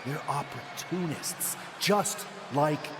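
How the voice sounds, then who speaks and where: A man's voice: fairly high pitch, takes it slowly. An actor playing a cocky male wrestler in a video game, goading rivals with a punchy promo. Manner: punchy, provoking. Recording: noisy, long-echoing arena sound.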